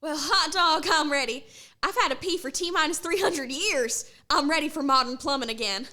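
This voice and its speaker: A woman's voice: high voice, moderate pace, bright, forceful tone. A woman voicing a folksy young female student with a cowboy manner, full of exclamations.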